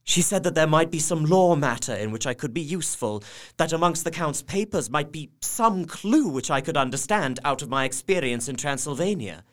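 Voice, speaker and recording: A man's voice: a little high, expressive, normal speed, a bit bright. A man narrating a gothic drama in the first person, earnest and heartfelt. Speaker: narrator and young husband character.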